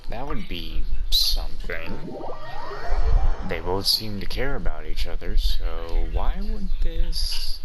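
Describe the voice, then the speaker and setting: Slow-talking man musing over a game. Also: deep voice, big pitch swings, over loud music. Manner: musing aloud. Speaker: gaming video host. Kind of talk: casual playthrough commentary.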